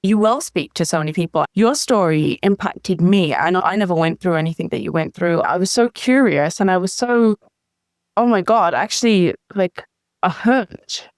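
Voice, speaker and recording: A woman's voice: fairly low pitch, animated, normal speed, no room sound, clean studio sound. A woman hosting a podcast, responding to her guest in an emotionally engaged, effusive way. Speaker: podcast host. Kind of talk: interview conversation.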